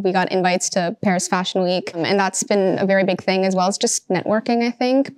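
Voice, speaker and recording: A woman's voice: fairly low pitch, darkish tone, no room sound, studio quality. A young woman, a fashion student, answering interview questions in a chatty way with many fillers. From Seattle, Washington. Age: young adult. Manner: chatty, lots of um and you know. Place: bright classroom with large windows.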